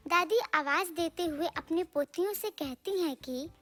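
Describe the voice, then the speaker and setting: Very high-pitched female story narrator. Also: unhurried, fairly bright tone. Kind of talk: scripted narration of a children's moral story.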